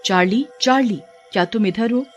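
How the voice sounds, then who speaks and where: A woman's voice: a little high, moderate pace, faint music behind, natural voice. A woman voicing a bold, stern young woman in a Hindi audio story, speaking in earnest warnings. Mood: summoning.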